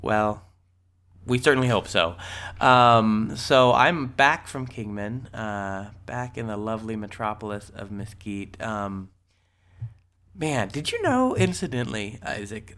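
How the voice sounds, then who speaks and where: A man's voice: lowish voice, expressive, takes it slowly, fairly bright tone. A man with a cold, sniffly, co-hosting a casual podcast and rambling through the news with many ums and restarts. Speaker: podcast co-host.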